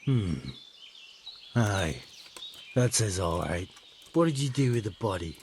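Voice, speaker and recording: A man's voice: on the low side, lively intonation, slow, a little hiss or hum. A man playing a brash, suspicious medieval thief with a blunt, sardonic manner in a video game. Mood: grudging approval. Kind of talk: video game cutscene acting.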